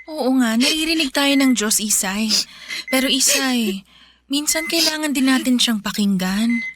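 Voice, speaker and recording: A woman playing a gentle, worried companion who carefully consoles someone in a Filipino radio drama. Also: high-pitched, lively intonation, a little slow, background noise. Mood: gentle, hesitant. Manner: soothing, careful, sorrowful. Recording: prominent music behind the voice.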